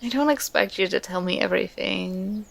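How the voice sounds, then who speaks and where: A woman playing an affectionate, contented girlfriend in an ASMR roleplay, speaking in a tender, soothing, intimate and unhurried manner. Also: medium pitch, vocal fry. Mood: tender, reassuring.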